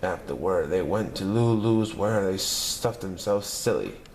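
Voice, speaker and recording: A man reading a children's picture book aloud in a lively, expressive storytelling manner. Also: deep voice, a little slow, a little hiss or hum. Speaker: narrator.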